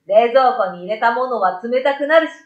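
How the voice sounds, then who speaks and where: A woman voicing an excitable, incredulous young boy with emphatic, casual childlike speech in a storybook reading. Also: a little high, brisk, roomy sound, dull audio, close to an everyday voice. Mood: matter-of-fact. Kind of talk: storybook radio drama reading.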